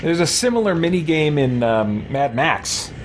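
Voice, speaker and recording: A man's voice: on the high side, big pitch swings, normal speed, very noisy. A man hosting a casual let's play, thinking aloud and exclaiming as he goes. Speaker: gaming channel host.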